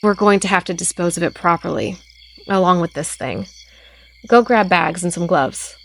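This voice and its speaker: A woman's voice: on the low side, moderate pace. A woman playing a practical, level-headed small-town sheriff in an audio drama, thoughtful and matter-of-fact. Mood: uneasy, decisive.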